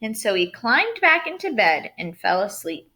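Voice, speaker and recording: A woman narrating a children's storybook aloud as a storyteller, expressive and dramatic, stressing key words. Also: mid-range voice, moderate pace.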